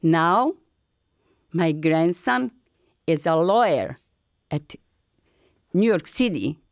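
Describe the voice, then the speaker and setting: An older woman from Bosnia with a Bosnian accent, telling her story in halting, broken English in an earnest, encouraging way. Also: on the low side, animated, vocal fry, no echo, clean studio sound. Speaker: featured artist. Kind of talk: personal storytelling.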